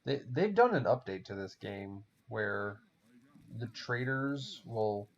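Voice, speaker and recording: A man's voice: lowish voice, expressive, slow. A man with a German accent chatting casually while playing a game, rambling, swearing and laughing often. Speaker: podcast host playing a game. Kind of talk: casual chat while gaming. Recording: dull lo-fi recording with faint music.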